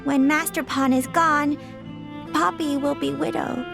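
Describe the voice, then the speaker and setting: A woman's voice: high-pitched, steady tone, unhurried, vocal fry. A woman voicing a naive, sincere little artificial girl in a video game, with a childlike manner. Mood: sincere sadness. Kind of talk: video game character acting. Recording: music behind the dialogue.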